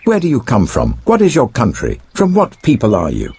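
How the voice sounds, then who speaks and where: A man's voice: mid-range voice, wildly swooping pitch, normal speed, darkish tone, husky, voice pushed up, full character voice. A British man voicing frightened, urgent, imploring sailors in a scripture reading. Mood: urgent, alarmed. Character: frightened ancient sailors, urgent pleading men.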